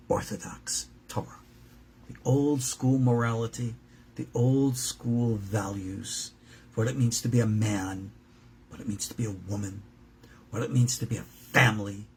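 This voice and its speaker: Male rabbi, informal teacher-preacher. Gravelly fry, emphatic and direct, slips into imagined dialogue. Slightly noisy recording. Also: mid-range voice. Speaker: rabbi and teacher at a Torah school. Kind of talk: informal religious lesson, preaching to students.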